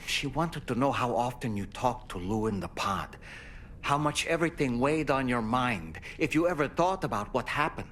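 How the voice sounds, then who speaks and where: A man acts as an earnest confidant in a video game drama. His mid-range voice is solemn, sincere and deliberate, over faint background noise. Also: medium pitch, animated, a bit bright, close to an everyday voice. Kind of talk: video game cutscene dialogue.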